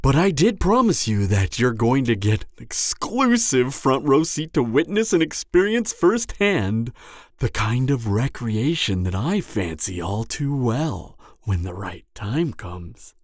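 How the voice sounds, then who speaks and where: A man playing a gleeful, gloating cannibal serial killer in an audio roleplay, with pompous, florid, drawn-out phrasing. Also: medium pitch, sing-song, no echo, clean studio sound. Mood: sinister, savoring. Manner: theatrical, drawn-out phrasing with frequent chuckling. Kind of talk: roleplay audio drama monologue to the listener.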